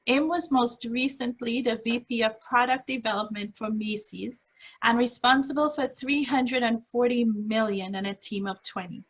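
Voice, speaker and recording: A woman's voice: fairly high pitch, fairly even intonation, moderate pace, poor audio. A woman hosting an event, reading an upbeat, scripted introduction of a guest speaker over a video call. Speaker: event host.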